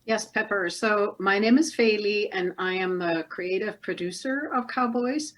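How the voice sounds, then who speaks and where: A woman with a German accent talks through a video call in an earnest, thoughtful way. Her pitch sits in an ordinary middle range, and she restarts phrases now and then as she explains. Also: measured pace, a bit bright, rough recording. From northern Germany. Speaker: documentary producer, guest. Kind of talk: casual interview over video call.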